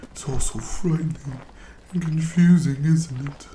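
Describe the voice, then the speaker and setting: A man playing a cruel, gloating shapeshifting monster who taunts its prey in a breathy, whispery voice, in a scripted audio roleplay. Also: a little high, roomy sound, noisy background, lightly acted. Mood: taunting, menacing. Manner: taunting, mock-sympathetic, drawn-out delivery.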